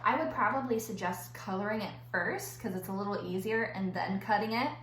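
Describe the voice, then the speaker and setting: A woman schoolteacher reading aloud to young children and explaining an activity in simple, clear phrases. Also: medium pitch, a little fast, a bit bright. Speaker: elementary school teacher. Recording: echoey room with faint background noise.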